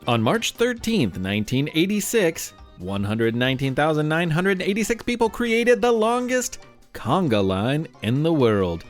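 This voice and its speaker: A man's voice: a little high, very animated, measured pace, faint music behind. A man hosting a children's show, narrating from a script in a playful, theatrical way with wry asides. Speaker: podcast host.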